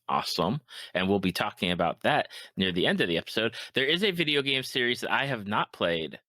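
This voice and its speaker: A man's voice: low-pitched, pushed and bright, dry recording. A man hosting a podcast, interviewing in a casual, affirming and agreeable way. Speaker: podcast host.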